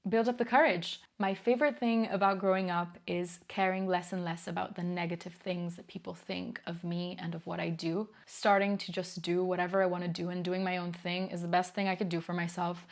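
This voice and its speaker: A woman's voice: on the low side, level tone, moderate pace. Brief: young woman from Italy, casual vlog host, candid and chatty, laughs easily. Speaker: knitting YouTuber.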